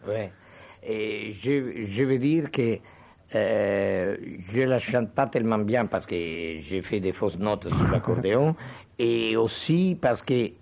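Older man with a gravelly, dark voice. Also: lowish voice, lively intonation, slow pace, no echo. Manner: digressive, meandering storyteller. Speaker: guest. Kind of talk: reminiscing in conversation.

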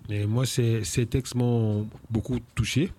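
A man's voice: medium pitch, steady tone, slow, dark tone, a little hiss or hum. A man in his fifties with a Cameroonian French accent, a musician guest talking casually, often restarting and repeating words. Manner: hesitant, many restarts and repeats. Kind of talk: casual interview.

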